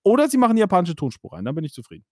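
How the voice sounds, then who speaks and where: A man's voice: sits high, wildly swooping pitch, rapid-fire, mellow, dry recording, studio quality. A man hosting a casual podcast about games, chatty and full of fillers, laughing now and then. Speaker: podcast host. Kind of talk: casual gaming podcast chat.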